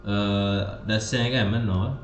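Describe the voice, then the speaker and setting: A man's voice: lowish voice, fairly even intonation, slow pace. A man chatting casually as he streams a game, informal and repetitive, often muttering. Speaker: gaming streamer. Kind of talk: casual game livestream chat. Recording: roomy, dull sound with faint noise.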